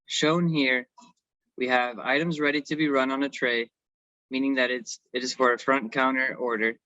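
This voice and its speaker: A man's voice: medium pitch, fairly even intonation, normal speed, pushed and bright, dry recording. A man giving a semi-scripted technical presentation as an engineering consultant, on a slightly dull recording.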